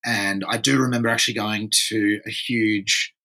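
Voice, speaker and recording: A man's voice: fairly low pitch, fairly even intonation, normal speed, sharp tone, low-quality recording. A man in his thirties from France, a podcast guest, talking casually and repeating words as he gathers his thoughts. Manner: rambling, repeats words while thinking. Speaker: podcast guest, surf environmentalist.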